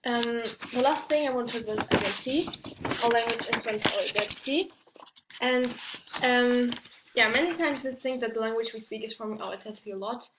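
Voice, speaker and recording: Student presenter, young woman, higher voice, halting delivery full of restarts, roomy echo. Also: noisy background. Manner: hesitant, many restarts and fillers, non-native English phrasing. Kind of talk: class presentation. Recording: echoey room.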